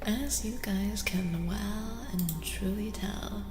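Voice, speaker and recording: A woman streamer giving a soft-spoken ASMR tarot reading, often whispering, unhurried and soothingly repeating her phrases. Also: mid-range voice. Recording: echoey long reverb, music behind. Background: music.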